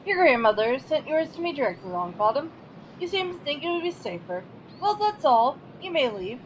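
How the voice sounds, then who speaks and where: A woman as strict older schoolteacher witch, high swooping voice, clipped and commanding, audio drama, rumbly noisy home recording. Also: sing-song, normal speed. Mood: stern, dismissive. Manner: clipped, authoritative. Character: stern older witch professor, strict and curt. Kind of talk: fan audio drama acting.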